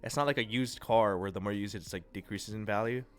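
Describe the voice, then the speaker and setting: A congested, nasal-sounding man from the United States hosting a livestream, chatting casually and rambling through viewers' comments with a relaxed, self-deprecating manner. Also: lowish voice, quick pace, clear, resonant tone. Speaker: livestream host. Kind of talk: casual chat reading and answering viewer chat.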